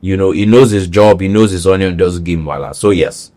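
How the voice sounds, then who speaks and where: A man's voice: low-pitched, fast, dark tone. Earnest Nigerian man speaking. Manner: earnest, repeats phrases for emphasis. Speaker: actor, podcast guest. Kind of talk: conversational interview.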